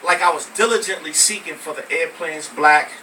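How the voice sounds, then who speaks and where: An American man from Brooklyn performing spoken word poetry live, with emphatic, deliberate phrasing. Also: a little high, a crowd in the background. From Brooklyn, New York, United States. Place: live poetry venue with small audience. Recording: noisy, distant amateur recording of live venue.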